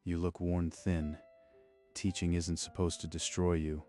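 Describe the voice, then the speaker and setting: An actor playing a kindly old man who owns a piano workshop, speaking in a calm, measured way for a manga dub. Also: deep voice. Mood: concerned.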